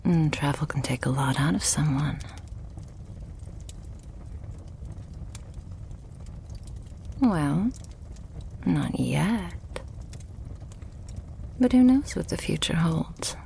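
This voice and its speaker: A woman playing a loving, possessive vampire girlfriend in an intimate ASMR roleplay, tender and hushed, with breathy sighs between phrases. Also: low voice, lively intonation, slow, pauses often, a little hiss or hum, heavily acted. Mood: soothing, devoted.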